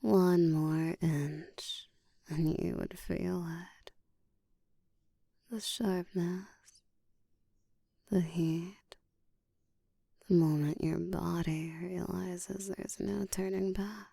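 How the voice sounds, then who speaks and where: A woman playing a dominant, seductive vampire in a whispered roleplay, speaking in a breathy, deliberate, teasing way. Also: fairly low pitch, steady tone, dark tone, no room sound, studio quality, heavily acted. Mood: teasing, commanding. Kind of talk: whispered roleplay audio, ASMR.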